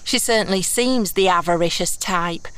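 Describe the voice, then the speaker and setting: A woman's voice: fairly low pitch, moderate pace, clean tone, close to an everyday voice. A woman playing a brash, blunt runaway heiress turned thief in a fantasy audio drama, sarcastic and colloquial with old-fashioned turns of phrase. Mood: wry, scornful.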